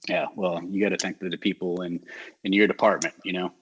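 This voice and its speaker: A man with a neutral American accent hosts a casual community chat, his voice low and a little husky. He talks in a loose, rambling way, full of fillers and restarts. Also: level tone, fast, rough recording. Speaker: mayor hosting a community talk show.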